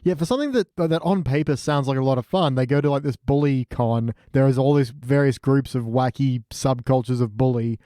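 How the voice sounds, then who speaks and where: A man's voice: medium pitch, fairly quick, hardly any pauses, dark tone, dry recording, studio quality. A man talking casually as a podcast panellist, giving rambling, sometimes sweary critique. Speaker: podcast panellist reviewing cartoon episodes. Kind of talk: casual chat.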